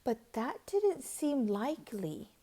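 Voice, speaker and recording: A woman reading a children's picture book aloud as a storyteller, with dramatic, deliberate delivery and drawn-out words. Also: sits high, big pitch swings, dark tone.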